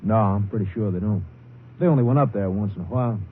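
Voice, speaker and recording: An actor playing a harried, plain-spoken cotton compress foreman in an old-time radio drama, gruff but helpful. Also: on the low side, quick pace, natural voice. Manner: plain-spoken, folksy, harassed. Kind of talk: 1940s-50s radio drama acting. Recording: muffled, noisy old radio recording.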